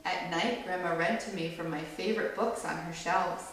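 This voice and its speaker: A woman reading a picture book aloud to children as a storyteller, with animated delivery that acts out the quoted lines. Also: lowish voice, moderate pace. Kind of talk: expressive read-aloud storytelling. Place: echoey indoor room with children listening. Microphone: far from the reader. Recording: distant mic, very echoey room, faint noise.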